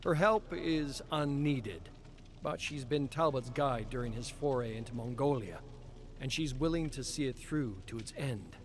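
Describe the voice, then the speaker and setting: A man giving a measured, expressive dramatic reading of game story text as a lore reader on a live stream. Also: mid-range voice, slight background noise. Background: clear background music.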